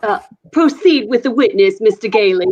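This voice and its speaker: A woman's voice: medium pitch, expressive, normal speed, muffled recording, heavy background noise, lightly acted. A woman playing an authoritative but easily flustered judge in a 1940s-style radio play.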